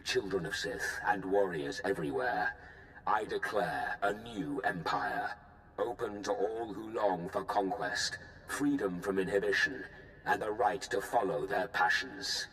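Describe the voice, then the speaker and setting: A man's voice: deep voice, expressive, unhurried, a bit bright, low-quality recording. An actor playing a defiant male Sith lord proclaiming a new empire in a commanding broadcast speech, in a video game.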